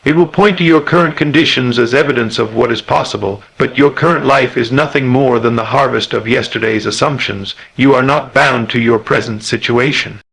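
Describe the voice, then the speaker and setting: A man with a husky, slightly rough voice at a medium pitch gives a scripted motivational speech. His delivery is solemn and emphatic, built on measured rhetorical phrases. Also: a bit bright, poor audio. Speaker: motivational speaker.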